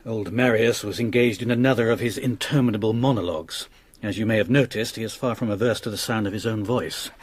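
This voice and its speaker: An actor playing a courteous, worried husband in a radio drama, formal and earnest, with a husky voice. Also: male voice, fairly low pitch, steady tone, fast talker. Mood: measured.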